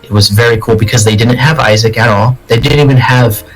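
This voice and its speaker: A man's voice: mid-range voice, brisk, faint music behind, rough recording. A man co-hosting a podcast, talking casually about pop culture with friends. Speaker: podcast co-host. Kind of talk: casual panel chat about video game movies.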